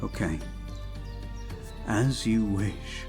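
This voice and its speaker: Man acting a low-voiced, unhurried, gentle prince. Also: music in the background. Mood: tender, reassuring. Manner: gentle, soothing, measured, slightly formal phrasing. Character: devoted, protective young royal prince reassuring his betrothed. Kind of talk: intimate scripted audio roleplay, speaking to the listener.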